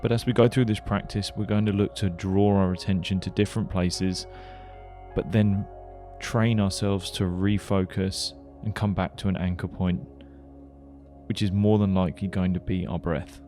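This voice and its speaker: A man leading a guided meditation, giving gentle, unhurried instructions with pauses. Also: deep voice, steady tone. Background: music.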